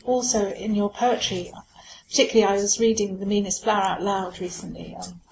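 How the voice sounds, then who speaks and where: A woman interviewing a poet, asking about her work in a measured, earnest way. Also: medium pitch, fairly even intonation, vocal fry, a little mellow. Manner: measured, earnest, slightly hesitant. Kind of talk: interview questions. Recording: echoey room with faint noise.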